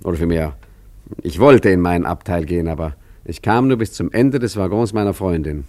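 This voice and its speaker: Actor doing a low voiced traveller guy in a german radio play, rambly, hesitant, wry, totally unflappable. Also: brisk, warm tone, no echo, lightly acted. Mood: wry recounting. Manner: hesitant, digressive, wryly self-correcting. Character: rambling, unflappable, tipsy male traveller recounting his misadventure. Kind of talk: German radio play acting, chatty first-person narration.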